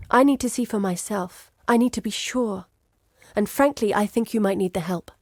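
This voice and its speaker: A woman's voice: medium pitch, darkish tone, no room sound, studio quality, natural voice. An actress playing an earnest, determined woman guide in a video game, with a grave, articulate delivery. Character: earnest, determined woman guide searching for her lost father. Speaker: game character voice. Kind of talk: dramatic video game voice acting.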